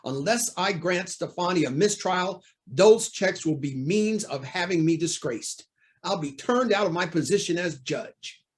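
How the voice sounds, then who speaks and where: Man as high-pitched, swooping, anxious judge. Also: lively intonation, unhurried. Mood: bitter, defensive. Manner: indignant, formal, theatrical. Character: proud, anxious male judge, cornered by blackmail. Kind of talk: old-time radio drama acting.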